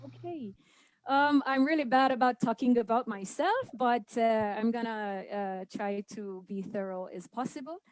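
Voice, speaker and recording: A woman's voice: fairly high pitch, moderate pace, dry recording, rough recording. A young woman from Saudi Arabia, a dancer and guest, answering questions on a video call, hesitant and full of fillers. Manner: hesitant, many fillers, says she is nervous. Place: indoor home room. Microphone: video call webcam.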